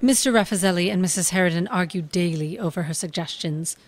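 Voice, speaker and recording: An actress playing an earnest, polite young stage actress, articulate, in a comedy audio drama. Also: female voice, fairly low pitch, quick pace, slight background noise, close to an everyday voice. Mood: earnest, thoughtful.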